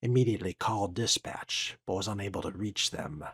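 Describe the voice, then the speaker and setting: A man with a low, husky voice narrates a video game in character. He speaks in a measured, formal way with a dry, musing tone, as if thinking aloud or reading from a document. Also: a bit bright, no echo. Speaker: player role-playing a video game.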